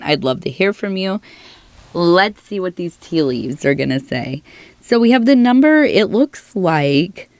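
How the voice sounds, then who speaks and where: A woman with a low, hoarse voice that is half gone from illness reads tea leaves in an unhurried, soft-spoken way. She muses aloud and reassures the listener directly, close and dry with no room sound. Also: dry recording. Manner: musing, reassuring, talks straight to the listener. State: hoarse, losing her voice from illness. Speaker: tea leaf reader. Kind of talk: soft-spoken divination reading, ASMR.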